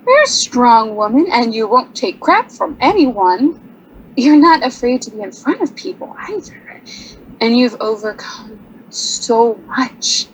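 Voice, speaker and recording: A woman's voice: a little high, very animated, unhurried, highs cut off, slight background noise. An actress playing a shy young woman sign designer who talks nervously, giggles and apologizes. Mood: earnest. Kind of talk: stage radio drama acting.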